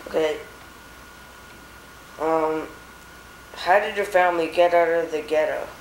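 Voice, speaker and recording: A man's voice: on the high side, fairly even intonation, a little slow, echoey room, a little hiss or hum, poor audio. A young male interviewer asking short prepared questions for a school project.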